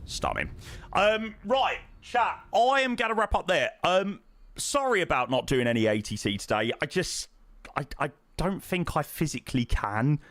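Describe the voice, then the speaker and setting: A man with a hoarse, croaky voice, chatting casually to his stream audience and rambling with lots of ums. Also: on the high side, big pitch swings, moderate pace, a bit bright, dry recording. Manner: rambling, self-interrupting, frequent ums. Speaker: flight sim streamer.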